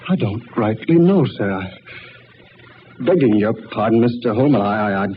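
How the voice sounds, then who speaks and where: An actor playing a humble, anxious chauffeur in an old radio drama, deferential, hesitant and folksy. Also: male voice, lowish voice, lively intonation, normal speed, lightly acted. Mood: flustered, puzzled. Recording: muffled, noisy old radio recording.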